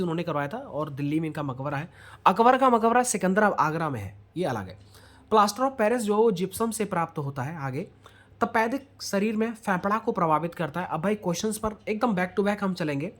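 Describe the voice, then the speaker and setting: A woman teaching a rapid-fire exam revision lesson, asking questions and answering them for her students, with English words mixed in. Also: medium pitch. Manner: asks questions then answers them, addressing students directly; mixes English words into speech.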